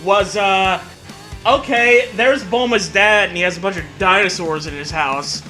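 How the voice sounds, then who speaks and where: A man's voice: high-pitched, unhurried, sharp tone. A young man riffing casually and comically as a co-host, sarcastic, exaggerated and freely profane. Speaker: co-host commenting on fan fiction. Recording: music playing behind the voice. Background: background music.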